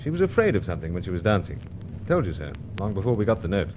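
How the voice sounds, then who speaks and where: An actor playing a suave, unflappable British secret agent in a radio adventure serial, speaking in terse, understated, clipped phrases. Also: male voice, low voice, wildly swooping pitch, racing, dull audio, noisy background. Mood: thoughtful. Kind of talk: old-time radio adventure serial narration and dialogue.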